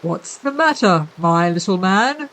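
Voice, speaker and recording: A woman's voice: medium pitch, animated, a little slow. A woman voicing a respectable male paper creature come to life, speaking with a nasal, stuffed-up sound, odd R's and formal politeness. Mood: kindly. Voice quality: nasal, as if from a heavy cold.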